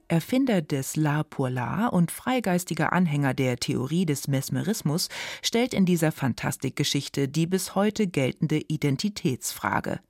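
A woman's voice: deep voice, darkish tone, no echo, clean studio sound. A woman radio presenter giving a scripted, dramatic introduction to a literary reading.

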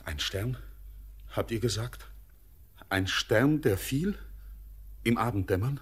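An actor playing a restless young miner who speaks in a brooding, hushed way in a classic radio play. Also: male voice, fairly low pitch, animated, slow, slightly rough, exaggerated voice. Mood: haunted.

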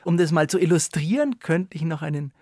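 A man with a slightly high voice hosts a cultural radio interview. The sound is very dry as he presents and asks questions. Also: expressive, brisk, a little mellow, breathy edge, dry recording.

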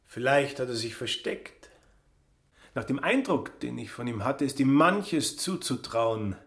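A fussy suspicious innkeeper in a radio play, stickler for rules, voice swings up and down. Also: male voice, medium pitch, lively intonation, unhurried, fairly bright tone. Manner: formal, insistent, stressing regulations. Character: officious male innkeeper, suspicious and rule-bound. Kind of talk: literary radio drama acting.

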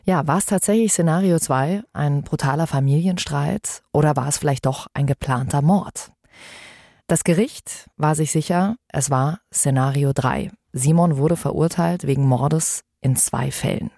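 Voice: mid-range voice, moderate pace, vocal fry, warm tone, dry recording, studio quality. A podcast host moderating a discussion in a conversational style.

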